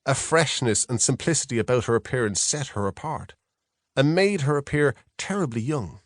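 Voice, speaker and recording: A man reads a first-person novel in a measured, reflective storytelling manner. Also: medium pitch, expressive, no echo, studio quality. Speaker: audiobook narrator. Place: close, dry studio.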